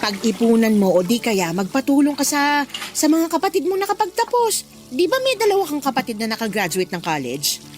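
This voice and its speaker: Very high-pitched, nosy Filipina co-worker. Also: female voice, brisk. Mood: urging. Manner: animated, prodding, exclamatory. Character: nosy, caring older female co-worker, blunt and encouraging. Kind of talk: Tagalog radio drama acting. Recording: music bed under the dialogue.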